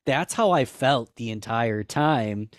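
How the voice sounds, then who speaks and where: A man's voice: expressive, slow pace, no room sound, clean studio sound. A man guest on a podcast, talking candidly and self-deprecatingly in casual chat, rambling with restarts. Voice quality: higher-pitched for a man.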